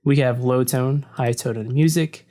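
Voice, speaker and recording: A deep-voiced man talks through an audio-editing walkthrough in an easygoing, conversational way. He records at his desk in an untreated home room. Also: moderate pace. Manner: relaxed and explanatory, with frequent "so" and "uh". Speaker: tutorial narrator. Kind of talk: casual screen-recording walkthrough. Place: home room without soundproofing. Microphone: desk mic facing the computer screen.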